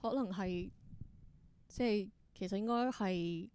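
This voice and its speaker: A woman's voice: medium pitch, measured pace, a little mellow, dry recording. A woman with a Hong Kong Cantonese accent in a casual interview, thinking aloud reflectively and mixing in some English words. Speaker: interview guest, theatre performer and creator.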